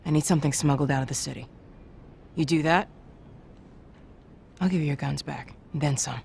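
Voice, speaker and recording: Weary female resistance commander, game drama: heavy vocal fry, terse, hard-edged, urgent authority. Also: deep voice, moderate pace, darkish tone, a little hiss or hum. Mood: weary, firm. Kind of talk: naturalistic video game cutscene acting.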